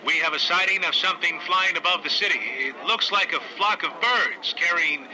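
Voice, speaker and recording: A man's voice: on the high side, moderate pace, pushed and bright, rough recording. A man playing an urgent police officer reporting over a radio in a superhero radio drama.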